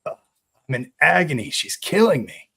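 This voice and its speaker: Guy playing laid-back teen stoner at summer camp, pitched a bit above his usual. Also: sing-song, a little slow, gravelly, a bit bright, husky, exaggerated voice. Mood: mock agony. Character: genial eighteen-year-old stoner guy at a summer camp.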